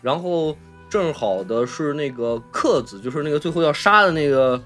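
A man's voice: on the high side, lively intonation, normal speed, a bit bright, faint music behind. A man with a Mainland Chinese Mandarin accent hosting a casual film discussion, explaining and rephrasing his points as he goes. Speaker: podcast host.

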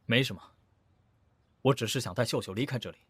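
A man playing a grave, protective character in a fantasy audio drama, with a husky voice and subdued, persuasive manner. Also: mid-range voice, quick pace, dry recording, natural voice. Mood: grave, pleading.

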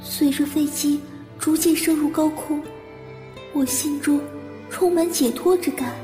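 A woman's voice: high voice, steady tone, unhurried, warm tone, roomy sound, soft background music. A woman playing a timid, haunted young bride, hesitant and introspective, narrating an audio drama. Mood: wistful. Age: young adult. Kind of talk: Mandarin audio drama acting with first-person narration.

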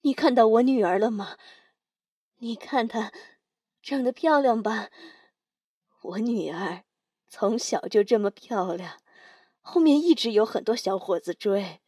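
A woman's voice: fairly high pitch, expressive, a little slow, long pauses, warm tone, husky, no echo, clean studio sound, recorded from a distance. A woman playing a confused, wistful elderly mother in an audio drama, rambling in a childlike way. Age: older. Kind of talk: Chinese audio drama acting.

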